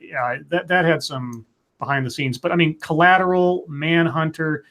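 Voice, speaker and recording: A man's voice: a little high, moderate pace. A man co-hosting a live comics chat show, talking loosely with lots of restarts and fillers. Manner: rambling, animated, many restarts and fillers. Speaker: podcast co-host. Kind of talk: casual live-stream chat.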